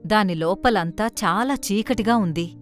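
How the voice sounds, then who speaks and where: A woman's voice: mid-range voice, measured pace, faint music behind. A woman reading scripted narration for a children's story.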